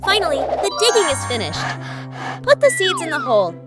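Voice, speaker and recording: A very high, childlike voice narrates a children's cartoon with lively swings in pitch. The phrasing is simple and storybook-like, and children's music plays prominently behind it. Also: brisk.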